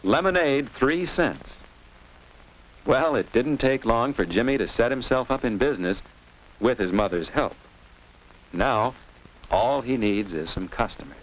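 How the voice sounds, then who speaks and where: A man's voice: medium pitch, expressive, measured pace, pauses often. An American man narrating a 1950s instructional film for children in a scripted, didactic manner, posing simple questions and answers. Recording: noisy vintage 1950s film soundtrack with clicks.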